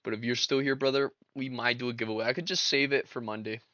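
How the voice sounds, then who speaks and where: A man's voice: mid-range voice, fairly even intonation, fast talker, pushed and bright, dry recording, highs cut off. A young man hosting a casual trading live stream, laid-back and slangy, talking to his chat. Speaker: livestream trader and host.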